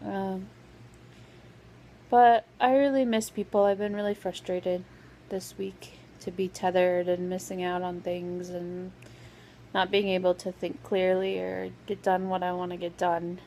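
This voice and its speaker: Woman vlogging w a sore scratchy throat, kinda gravelly, says um a ton. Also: lowish voice, little pitch movement, a little slow, warm tone. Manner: hesitant, full of "um" fillers. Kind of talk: casual vlog update.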